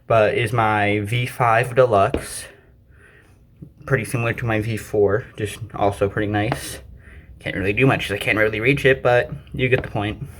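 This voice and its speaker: A man with a fairly low voice presents things from his collection in an unscripted, casual way. He rambles offhandedly and mutters asides to himself. The amateur home recording has some noise behind him. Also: steady tone, normal speed, fairly bright tone. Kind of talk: casual unscripted show-and-tell. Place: indoors, at home by a desk. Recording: clearly noisy amateur recording.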